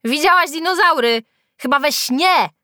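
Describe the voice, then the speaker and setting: Woman as a high, sneering schoolgirl. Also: animated, very slow, bright, forceful tone, dry recording, clean studio sound, exaggerated voice. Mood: scornful. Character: sneering, disbelieving schoolgirl classmate in a storybook.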